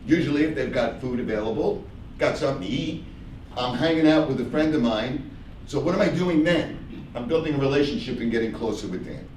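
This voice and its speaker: A man speaking as a panelist at a business networking event, loud and animated, acting out examples. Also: medium pitch, fairly quick, slight background noise. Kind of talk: panel discussion. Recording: echoey room, distant mic.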